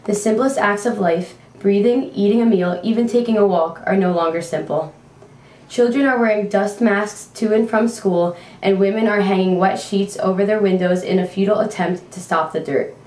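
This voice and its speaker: A woman's voice: medium pitch, moderate pace, a little mellow. Female reporter, scripted amateur news, mid-range voice, far from the microphone. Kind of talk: scripted amateur mock newscast. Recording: echoey room, distant mic.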